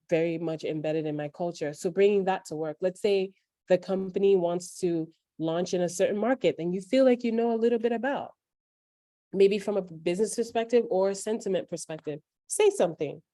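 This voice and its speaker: A woman's voice: on the low side, dark tone, no echo, studio quality. A woman with a Nigerian accent mixed with a New York accent, earnestly giving career advice as an interview guest. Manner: earnest, advising, speaks up plainly. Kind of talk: interview conversation.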